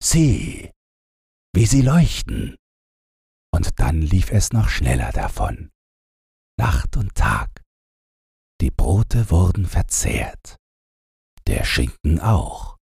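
A man narrates a bedtime fairytale in a low, gravelly voice. He reads slowly and deliberately as a storyteller. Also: big pitch swings, a little mellow, dry recording, studio quality. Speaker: audiobook narrator.

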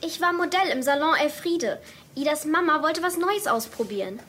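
Very high, bright schoolgirl. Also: lively intonation, moderate pace, a little hiss or hum. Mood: timid, defensive. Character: timid, defensive schoolgirl daughter. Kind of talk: children's audio drama acting.

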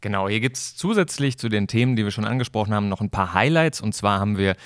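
A man's voice: on the low side, expressive, fast talker, dry recording, clean studio sound. A man co-hosting a semi-scripted audio play in a casual, colloquial way. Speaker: podcast co-host and exhibition presenter.

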